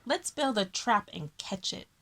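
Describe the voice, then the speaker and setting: A woman voicing an excited, bold young girl from a picture book, with emphatic, shouted delivery. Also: mid-range voice, a little slow, soft background music, full character voice. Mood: eager. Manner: shouting, emphatic, breathless lists.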